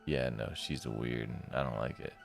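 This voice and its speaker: A man with a very deep, gravelly voice giving casual, slangy reaction commentary as a streamer. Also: fairly even intonation, moderate pace, music in the background. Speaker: streamer playing a visual novel. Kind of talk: casual reaction commentary between read-aloud passages.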